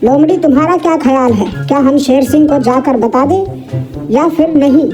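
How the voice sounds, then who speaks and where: A man's voice: extremely high pitch, normal speed, darkish tone, soft background music, voice pushed up. A man voicing an anxious, unsure deer in a children's animal story. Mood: anxious, questioning. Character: chattering high-voiced deer, anxious and unsure.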